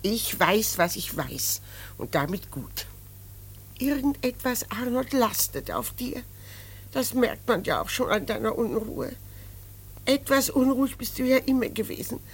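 A woman's voice: a little high, lively intonation, a little slow, husky, heavily acted. An actress playing an anxious, reproachful middle-aged mother in a radio drama, pleading and scolding insistently. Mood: worried, insistent.

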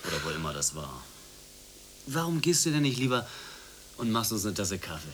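An actor playing a sardonic, boastful drifter with a wry, domineering manner in a radio play. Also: male voice, on the low side, sing-song, normal speed, fairly bright tone. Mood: dismissive, mocking. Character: cocky, sardonic drifter and dealer, domineering toward women. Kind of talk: German radio play acting. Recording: noisy 1980s radio play recording.

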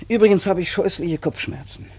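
An actor playing a sullen, sneering, dissolute son in a radio play, with a rough, husky voice. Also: male voice, high-pitched, a little fast, a little mellow. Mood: irritated, weary. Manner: sneering, theatrically exasperated. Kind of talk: classic German radio play acting.

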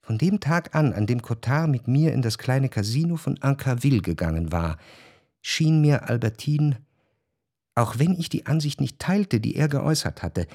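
An actor playing a reflective, jealous gentleman who narrates a literary radio drama in measured, introspective phrases. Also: mid-range voice, animated, no room sound, clean studio sound. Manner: measured, introspective, long formal sentences.

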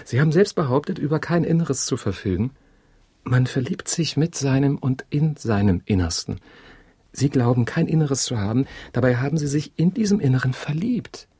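A man's voice: medium pitch, lively intonation, normal speed, warm tone, husky, dry recording, clean studio sound. An actor in a German radio drama playing an obsessive, pedantic abandoned husband who insists on his ideas with great earnestness. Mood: insistent, pleading.

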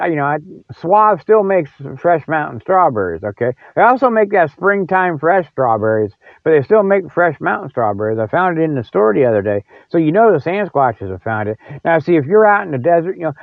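A man's voice: on the high side, big pitch swings, fairly quick, dry recording. An older American man hosting a podcast in rambling, casual solo chat, with a folksy, jokey way of talking. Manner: folksy, jokey, often chuckles. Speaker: podcast host. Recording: dull lo-fi audio.

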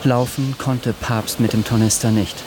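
A man reading literary radio drama narration in a measured, calm way. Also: mid-range voice, over loud music.

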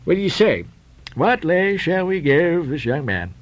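Man acting a blustering, high-pitched old sea captain. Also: very animated, unhurried, no room sound, lo-fi sound. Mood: brisk. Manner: roaring, thundering, exclamatory archaic speech.

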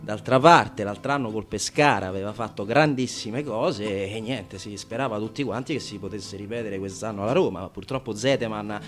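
A man's voice: on the low side, fairly quick, hardly any pauses, faint music behind, natural voice. A man playing a self-important city culture councillor in a satirical radio sketch, rambling and evasive. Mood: patronising, evasive. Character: pompous, bumbling Roman city culture councillor.

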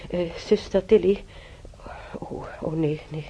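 Een actrice die in een hoorspel een oudere bedlegerige patiënte speelt, moederlijk en snel van slag, haperend en zuchtend. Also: female voice, fairly low pitch, mellow, slight background noise. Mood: distressed, embarrassed. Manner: halting, sighing, full of ohs. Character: older bedridden female patient, flustered, teasing, motherly. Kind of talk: radio drama acting.

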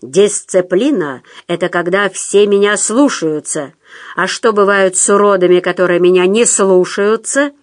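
A woman voicing a brutal, bullying man in an audiobook, hoarse and menacing, barking and hissing orders at underlings. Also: mid-range voice, normal speed, close to an everyday voice. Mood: commanding. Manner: menacing, hissing and shouting commands. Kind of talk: audiobook character voice.

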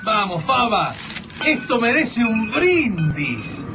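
An actress with an Argentine accent playing an encouraging, festive survivor in a radio drama. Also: female voice, on the high side, big pitch swings, slow pace, music in the background. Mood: pleased, festive. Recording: distant mic, noisy ambience.

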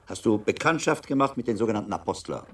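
An actor playing a shrewd, learned friar in a radio drama, speaking in a measured, thoughtful and wry way. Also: male voice, on the low side, big pitch swings, a little hiss or hum. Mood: probing.

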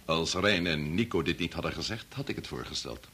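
An actor in a Dutch radio play, playing a grave, resolute older doctor who speaks in a measured, formal, authoritative way. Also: male voice, deep voice, expressive, pushed and bright, husky, heavily acted. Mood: grave, understanding. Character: grave, resolute senior doctor and father leading an experiment.

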